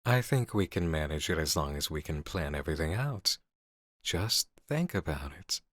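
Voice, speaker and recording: A man playing a calm, calculating game developer with measured, deliberate, articulate delivery in a scripted ASMR horror roleplay. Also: a bass voice, dry recording, clean studio sound, full character voice. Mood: quietly sinister. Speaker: voice actor in an audio roleplay.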